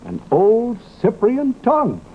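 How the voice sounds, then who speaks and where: An actor playing a fussy, credulous, dithering professor in an old radio comedy drama, hesitant and stammering. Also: male voice, extremely high pitch, takes it slowly, heavily acted. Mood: alarmed, excitable. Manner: hesitant, stammering, fussily excitable. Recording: muffled, noisy old radio recording.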